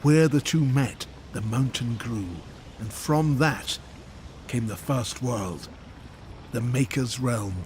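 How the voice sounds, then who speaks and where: Man playing a solemn, slow old lore-keeper. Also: mid-range voice, a little mellow, slight background noise, lightly acted. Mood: grave. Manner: grave, deliberate storytelling. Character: solemn old male Maker telling ancient lore. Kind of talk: fantasy game acting.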